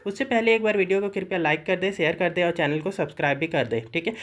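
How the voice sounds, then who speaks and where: A woman with an Indian accent presenting an informal, instructional explainer, often checking understanding with short tag phrases. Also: fairly low pitch. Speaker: presenter of government job notifications. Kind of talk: informal explainer of a recruitment notice.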